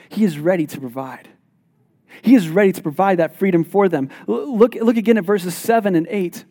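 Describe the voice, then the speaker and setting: A man preaching a sermon as pastor, reading scripture aloud and explaining it in an animated, emphatic way. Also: high-pitched. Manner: animated, self-deprecating, emphatic. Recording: long hall reverb.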